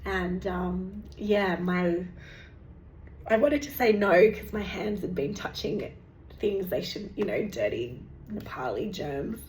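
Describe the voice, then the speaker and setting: A woman, hosting a casual vlog update, rambling and chatty with lots of fillers and restarts, her voice going hoarse. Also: lowish voice, animated, slow pace, a little mellow. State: losing her voice. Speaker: meditation teacher and channel host. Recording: echoey room with faint background noise.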